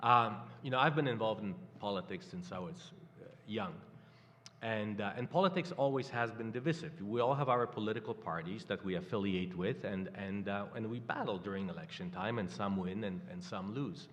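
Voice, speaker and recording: An older man from Poland with a Polish accent, speaking haltingly as a guest at a live panel. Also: fairly low pitch, a bit bright. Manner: halting, many fillers and restarts. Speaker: campaign guest. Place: stage in a hall before a live audience. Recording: hall reverb.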